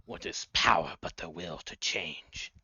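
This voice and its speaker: Male game master as ancient bony lair creature: menacing, cryptic, very unhurried, close dry sound. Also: sits low, bright, forceful tone, breathy edge, dry recording, lo-fi sound, heavily acted. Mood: cryptic, ominous.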